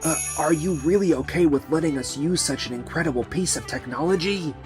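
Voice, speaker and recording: A man's voice: on the high side, brisk, music in the background, natural voice. A man playing an earnest, courteous businessman in a manga dub. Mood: earnest, grateful. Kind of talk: manga dub character acting.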